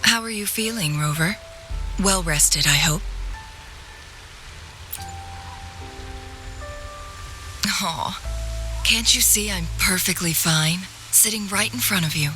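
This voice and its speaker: Game strategist, composed and courteous, lowish voice, crisp bright edge, measured formal delivery. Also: female voice, faint music behind. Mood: gently teasing. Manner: poised, measured, formal storytelling. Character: composed, courteous female strategist and former disciple, gently teasing. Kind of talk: video game story dialogue.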